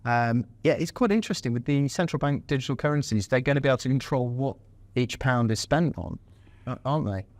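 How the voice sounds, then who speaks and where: A man's voice: medium pitch, animated, brisk, a little mellow, no echo. Male interviewer, hesitant, conversational, dry close sound. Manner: conversational, hesitant, with many fillers. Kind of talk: casual interview talk.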